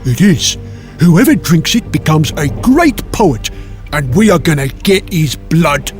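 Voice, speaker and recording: A man's voice: a little high, big pitch swings, a little slow, soft background music. Man playing a bossy, scheming dwarf villain. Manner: muttering, impatient, conniving. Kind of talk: audiobook character voice.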